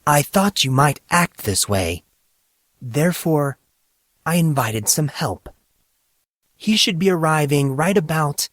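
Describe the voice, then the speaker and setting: A man voicing a calm, grave, otherworldly teenage boy in an audiobook, with a measured and stern manner. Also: a little high, no echo, clean studio sound, voice pushed up, heavily acted. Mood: composed. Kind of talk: audiobook character acting.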